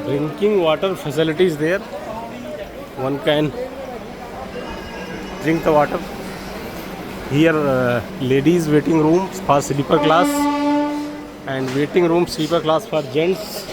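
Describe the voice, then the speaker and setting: Male travel vlogger, Indian accent, fairly high-pitched, hesitant explanatory walk-through, recorded in a busy crowded station. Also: slow, pauses often, over a noisy crowd, rough recording. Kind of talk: informal walk-through guide commentary. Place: public railway station, on the move.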